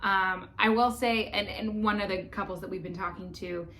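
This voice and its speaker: A woman's voice: medium pitch, steady tone, moderate pace, fairly bright tone, slight background noise. A woman co-hosting a travel vlog, chatting casually with lots of fillers and restarts. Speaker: vlog co-host. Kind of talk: casual chat.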